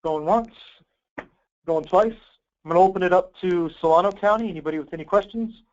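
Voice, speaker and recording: A man's voice: high-pitched, fairly quick, darkish tone. A man hosting a conference call in an informal, obliging way, explaining things and taking questions over a muffled line. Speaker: host, grant program coordinator.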